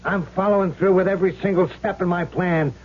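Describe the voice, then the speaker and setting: An actor playing a brash, vengeful ghost of a gangster in an old radio drama, bossy and sneering, on a muffled, noisy recording. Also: male voice, sits high, steady tone, fairly quick, lightly acted. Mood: bossy, cocky. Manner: brash, bossy, sneering tough-guy slang. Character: cocky vengeful ghost gangster possessing another man's body.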